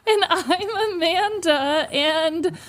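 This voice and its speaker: A woman's voice: very high voice, drawn-out words, fairly bright tone. A woman chatting casually as co-host of a podcast. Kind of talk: casual chat.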